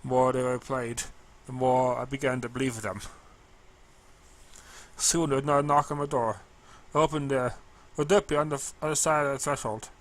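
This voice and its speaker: A man narrating amateur fan fiction in a halting read, now and then stumbling over words. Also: mid-range voice, steady tone. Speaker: story narrator.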